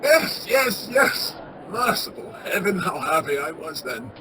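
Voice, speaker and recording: A woman playing an anxious, sentimental old male miser in a radio play, stammering and halting with emotion. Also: on the low side, big pitch swings, crowd noise, background noise. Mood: joyful, wistful.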